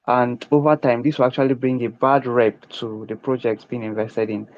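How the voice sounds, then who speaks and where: A man's voice: medium pitch, little pitch movement, normal speed, lo-fi sound. A man hosting an online question session, asking long questions broken up by many "um" fillers. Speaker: AMA host. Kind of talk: online crypto AMA questioning.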